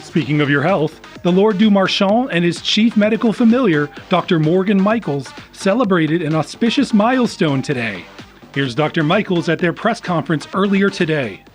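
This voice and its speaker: An actor playing a cheerful male news anchor, with smooth, formal scripted presenter delivery. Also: high voice, animated, moderate pace, soft background music. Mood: polished, upbeat. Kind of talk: scripted news broadcast parody.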